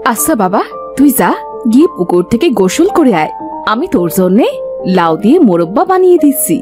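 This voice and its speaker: A woman's voice: mid-range voice, big pitch swings, a little fast, over music, close to an everyday voice. A woman playing a doting, affectionate village mother and housewife in a cartoon story. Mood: caring.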